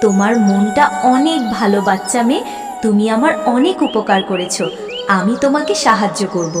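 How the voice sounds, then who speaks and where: A woman's voice: mid-range voice, animated, normal speed, lightly acted. A woman voicing a kindly talking papaya tree in a children's cartoon, plaintive and benevolent, with long reverb. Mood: grateful, benevolent. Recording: long echoing reverb, prominent music.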